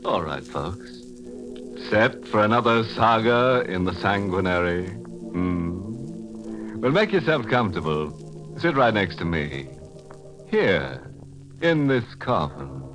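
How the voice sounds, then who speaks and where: A man playing a ghoulish, darkly jovial host of an old radio horror show, with a slow, macabre, mock-cordial manner. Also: lowish voice, very animated. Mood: sly, ghoulish. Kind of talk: 1940s radio horror hosting. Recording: old radio recording with music behind.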